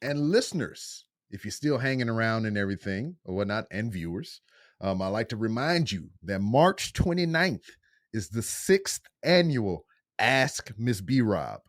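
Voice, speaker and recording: A relaxed man with a deep voice hosts a casual podcast interview. He talks in a loose, slangy way, laughs easily, and his pitch moves around a lot. The sound is dry and close. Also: measured pace, no room sound. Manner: laid-back, slangy, laughs often. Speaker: podcast host.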